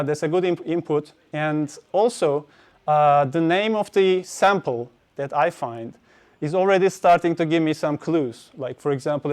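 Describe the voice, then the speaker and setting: A man giving a technical conference talk, hesitant, with many fillers and restarts. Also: fairly high pitch. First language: Turkish. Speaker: conference speaker.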